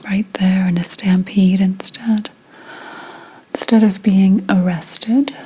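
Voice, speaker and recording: Slow whispering witch played by a woman. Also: medium pitch, slightly rough, poor audio. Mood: grave, bitter. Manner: hushed, slow, deliberate storytelling. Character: reincarnated 17th-century witch, mournful and secretive storyteller. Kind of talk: ASMR roleplay, whispered monologue.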